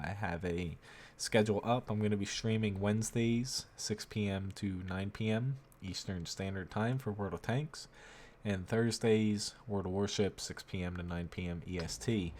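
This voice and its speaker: A young man with a cold streaming a tank game, talking casually with plenty of ums. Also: fairly low pitch. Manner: relaxed, frequent ums and uhs. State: has a cold, voice a little off. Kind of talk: casual gameplay commentary. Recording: game sounds loud in background. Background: gunfire and tank engines from the game.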